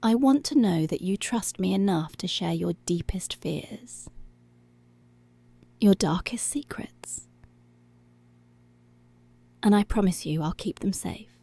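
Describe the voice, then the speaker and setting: A woman playing a dominant, seductive policewoman in an intimate ASMR roleplay, giving hushed, deliberate commands that are possessive yet tender, close to the microphone. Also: mid-range voice, breathy edge, dry recording, heavily acted. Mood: hushed, commanding. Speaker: ASMR voice actor. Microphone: close, dry microphone.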